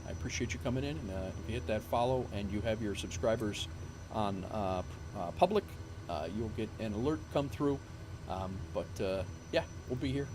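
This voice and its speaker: A man's voice: on the low side, moderate pace, background noise. A man streaming live, chatting casually and rambling with many fillers and restarts, his voice starting to go. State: losing his voice.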